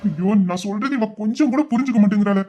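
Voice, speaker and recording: A man playing a young man who is hurt and quick to anger, scolding and exasperated in an audio drama. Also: high voice, highs cut off, faint music behind, lightly acted. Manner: scolding, exasperated, shouting at times. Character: young man, wounded and quick-tempered lover.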